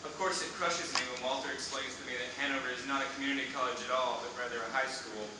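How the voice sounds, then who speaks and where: A man's voice: medium pitch, fairly even intonation, fast. Man in his thirties reading, echoey room. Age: 30s. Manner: dry, self-deprecating delivery. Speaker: writer reading his essay. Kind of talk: reading a personal essay aloud to an audience. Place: university reading event before a live audience. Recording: echoey room, distant mic, background noise.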